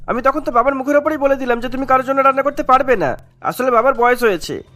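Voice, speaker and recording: A woman's voice: high-pitched, quick pace, a bit bright, faint music behind. A woman voicing a selfish, scornful grown son of a village headman in a cartoon, argumentative and dismissive. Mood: scheming. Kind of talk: animated moral-tale dialogue.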